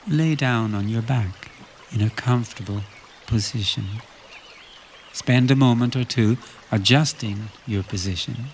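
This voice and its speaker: A man guides a relaxation meditation at a very slow pace, with a mid-pitched voice. He gives calm instructions in short phrases, leaving long pauses. A faint trickle of stream water runs behind him. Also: slight background noise.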